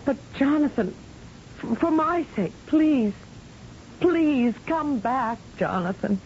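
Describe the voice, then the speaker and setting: An actress playing a betrayed, vengeful wife in an old-time radio science fiction drama, dramatic and deliberate. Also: female voice, high-pitched, expressive, darkish tone. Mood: pleading. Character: betrayed, coolly vengeful wife at a space laser. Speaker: actor. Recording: muffled, noisy old radio drama recording.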